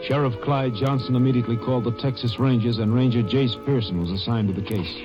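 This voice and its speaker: A man narrating an old radio drama in a brisk, matter-of-fact way. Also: mid-range voice, steady tone. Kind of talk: scripted narration. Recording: dull old radio with music.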